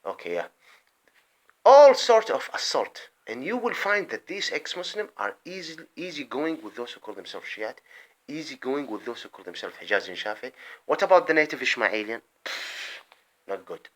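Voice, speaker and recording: A man delivering an impassioned, rambling political monologue, breaking off mid-sentence and swinging between loud outbursts and muttered asides. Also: mid-range voice, big pitch swings, moderate pace. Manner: rambling, emphatic, often breaks off and restarts. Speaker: vlogger.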